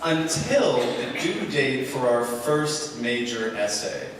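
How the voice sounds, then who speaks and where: A man's voice: medium pitch, lively intonation, slow pace, a crowd in the background. Young man storytelling in an echoey hall. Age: young adult. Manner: self-deprecating, wry. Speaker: storyteller, author. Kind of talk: live comic personal storytelling to an audience. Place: large indoor event space with seated audience. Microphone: stage microphone on stand, picked up from afar. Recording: roomy echoing hall, distant pickup, audience noise. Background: audience ambience and noise.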